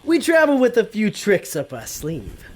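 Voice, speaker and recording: A woman's voice: medium pitch, huge pitch swings, moderate pace. A woman playing a dim, cheerful male demon sidekick in an audio comedy, with an easygoing, goofy manner. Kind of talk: audio comedy drama acting.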